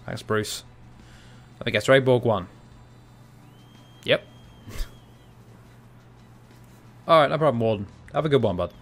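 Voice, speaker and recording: A young man doing casual, chatty live commentary on a fighting game from a small room at home, shouting out reactions and laughing with viewers. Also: mid-range voice, lively intonation, unhurried, long pauses. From half Cypriot. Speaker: gaming streamer.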